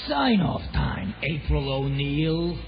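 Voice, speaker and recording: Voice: mid-range voice, sing-song, slow. An actor playing a menacing street gang leader in a children's audio drama. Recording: dull band-limited old audio drama recording. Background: loud dramatic music.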